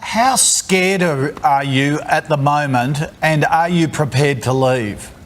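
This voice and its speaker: Higher-voiced male news anchor. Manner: brisk, direct questioning. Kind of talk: live news interview. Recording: faint background noise.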